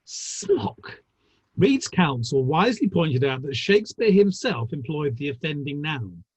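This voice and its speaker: A man playing a wry, gossipy, worldly Victorian theatrical gentleman in a play reading, with formal phrasing and dry wit. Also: on the high side, very animated, a little slow, husky, no room sound, full character voice, poor audio. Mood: wry, amused. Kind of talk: online dramatic play reading.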